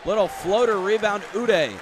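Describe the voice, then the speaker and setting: A man doing live basketball radio play-by-play as the announcer, with excited bursts. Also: high voice, moderate pace, background noise. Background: basketball arena ambience, bouncing balls.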